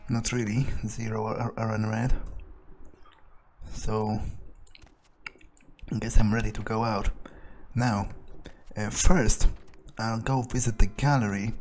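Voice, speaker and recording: A man's voice: fairly low pitch, little pitch movement, takes it slowly, long pauses, a little mellow, a little hiss or hum. A man narrating a game playthrough in character, thinking aloud in a musing, casually profane way. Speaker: gamer playing in character.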